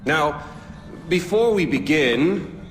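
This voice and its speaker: An actor playing a smug, flamboyant male tyrant in a video game, sardonic and mock-courteous with deliberate pauses. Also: on the high side, lively intonation. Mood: mock-courteous menace. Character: smug flamboyant male tyrant, eccentric, polite yet menacing. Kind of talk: video game cutscene acting. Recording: dramatic music behind the voice.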